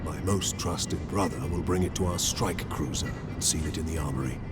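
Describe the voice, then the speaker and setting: A man playing a stern, noble space marine captain in a cinematic game, speaking formally with measured authority. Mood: grave, resolute. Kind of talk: dramatic cinematic game voice acting. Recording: loud music and battle rumble behind.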